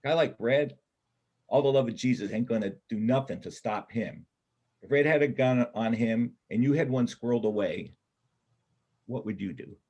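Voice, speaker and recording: Man playing a husky, cynical, hardened bank robber. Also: medium pitch, normal speed, darkish tone, natural voice. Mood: heated, insistent. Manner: blunt, colloquial, sardonic. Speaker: amateur church play performer. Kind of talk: reader's theater performance.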